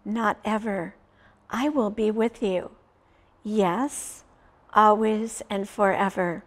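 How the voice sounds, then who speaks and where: A woman in her sixties hosting a children's story time, reading Bible stories and praying in short, deliberate phrases with frequent pauses. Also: mid-range voice. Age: 60s. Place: small furnished indoor room, seated.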